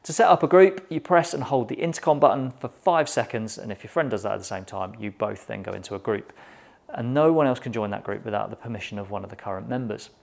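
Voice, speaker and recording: Gravelly middle-aged British man presenting a review. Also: on the low side, quick pace, darkish tone. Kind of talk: semi-scripted product review to camera. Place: small indoor shop studio.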